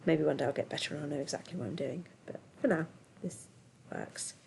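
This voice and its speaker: A woman, her voice thick and stuffy from a cold with a gravelly edge. She talks through a software tutorial in a chatty, easygoing way, full of ums and little self-deprecating asides. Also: low-pitched, fairly quick, a little hiss or hum. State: congested from a cold. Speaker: tutorial host. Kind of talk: casual screen-recorded software tutorial.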